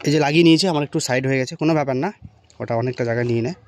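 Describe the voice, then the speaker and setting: Male vlogger for a hands-on install tutorial: very quick, informal, unscripted delivery, mid pitch, noticeable background noise. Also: a little mellow. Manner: informal, improvised, talking while working with hands. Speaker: motorcycle vlogger presenting an unboxing and installation. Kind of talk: casual hands-on tutorial chat to viewers. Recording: clear background ambient noise.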